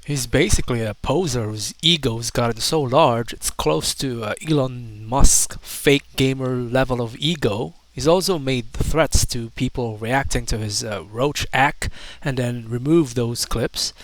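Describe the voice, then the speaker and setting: A man's voice: mid-range voice, a little slow. A man giving opinionated, accusatory commentary in the manner of a video essay. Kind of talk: critical commentary.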